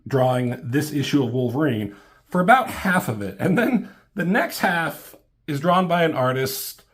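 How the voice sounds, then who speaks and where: A man in his thirties, just getting over a cold so his voice sounds slightly off, reviewing comics at home in a loose, halting way, full of ums and casual swearing. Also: a little high, expressive. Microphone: camera mic.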